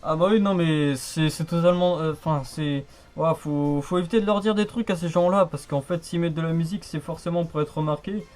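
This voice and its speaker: A man's voice: fairly high pitch, brisk, few pauses, darkish tone. A man chatting casually on the radio in a rambling, hesitant, repetitive way. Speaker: amateur radio hobbyist.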